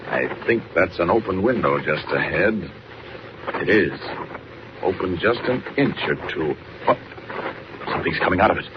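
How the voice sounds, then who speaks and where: Muffled 1940s radio serial, heroic deep superhero type, commanding and urgent. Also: male voice, background noise. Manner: declamatory, urgent, thinking aloud. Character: male superhero reporter in secret detective action, brave and commanding. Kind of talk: 1940s radio serial acting, narrating his own actions.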